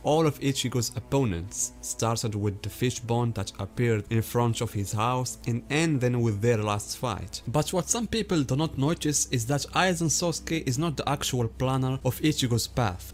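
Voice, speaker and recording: A man with noticeably accented English lays out a long argument for his viewers. He talks in an explanatory, lecturing way, often turning straight to the audience. His pitch sits in the middle range for a man. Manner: explanatory, addresses viewers directly. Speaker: anime theory YouTuber. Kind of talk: scripted video commentary.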